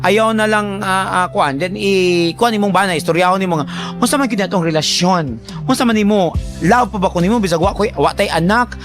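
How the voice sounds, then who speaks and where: A high-pitched male radio host with a Cebuano Bisaya accent gives casual advice over background music. He is colloquial and teasing, addressing the listener directly in an animated manner. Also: fairly quick, hardly any pauses. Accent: Filipino, Cebuano Bisaya. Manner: animated, colloquial, teasing, acts out imagined remarks. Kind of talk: casual conversational advice to a letter sender.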